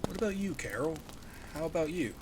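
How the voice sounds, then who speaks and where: A man's voice: a little high, sing-song, a little fast, background noise. A man playing an earnest, protective ex-policeman survivor in a horror comic dub. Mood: subdued, reflective. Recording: dramatic music behind the voice.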